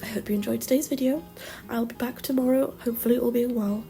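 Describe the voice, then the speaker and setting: A woman's voice: fairly high pitch, fairly even intonation, quick pace, warm tone. A woman with a nasal voice from a cold, rambling chattily with many ums through a casual voice-over over a game build. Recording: music playing behind the voice.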